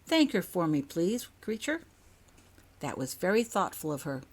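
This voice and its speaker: A woman's voice: on the low side, big pitch swings, normal speed. A woman voicing a kind, cheerful middle-aged wizard father in a storybook. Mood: warm, grateful.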